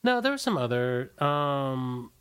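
A man being interviewed in a casual, rambling way, halting and often restarting his sentences. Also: mid-range voice, big pitch swings, fairly bright tone, smooth. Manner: rambling, halting, many false starts. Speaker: interview guest, punk band singer. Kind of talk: casual conversational interview, recollecting.

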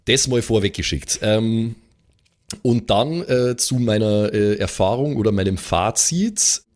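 A man's voice: lowish voice, unhurried, a little mellow. A man with an Austrian accent talking casually and reflectively as a game master, dropping English gamer slang into his German. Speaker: tabletop game master and podcast regular.

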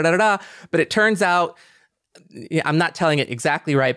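Smooth, clear man hosting a podcast. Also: on the high side, fairly bright tone, no room sound, studio quality. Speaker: podcast host. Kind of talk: casual podcast conversation.

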